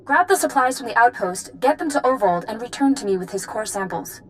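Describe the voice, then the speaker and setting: An actress playing a brisk, matter-of-fact smuggler giving instructions in a video game. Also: female voice, a little high, lively intonation, a little hiss or hum, close to an everyday voice, rough recording. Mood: brisk, businesslike.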